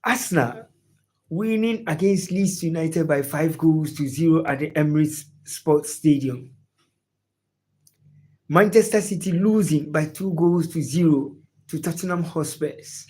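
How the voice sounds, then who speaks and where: A man's voice: on the high side, a little mellow. A man from Ghana with a Ghanaian English accent hosts a live football chat. He greets viewers by name and asks the same questions again and again. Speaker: sports livestream host. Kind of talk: live sports chat reading viewer comments.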